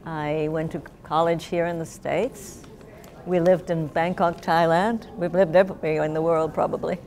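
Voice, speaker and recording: An older woman giving casual interview answers in a reflective, gently humorous way. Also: deep voice, flat delivery, normal speed. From Japan. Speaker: guest, artist and writer.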